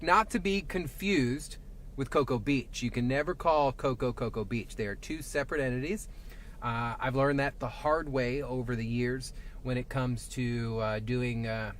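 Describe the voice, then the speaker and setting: A man with a Southern twang, a traffic safety reporter chatting casually through a live stream from inside his truck, rambling with lots of fillers. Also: mid-range voice. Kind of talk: live-streamed casual commentary while driving. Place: inside a parked and moving truck. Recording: faint vehicle noise behind.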